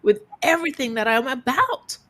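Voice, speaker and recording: A woman's voice: high voice, big pitch swings, normal speed. A woman guest on an interview show, telling a personal story in an earnest, coaching way and repeating phrases for emphasis. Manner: calls herself quiet-voiced. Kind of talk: reflective motivational storytelling.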